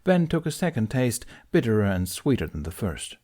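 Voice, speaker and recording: Low-voiced man narrating a story. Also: sing-song, moderate pace, dark tone. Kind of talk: audiobook narration of fan fiction.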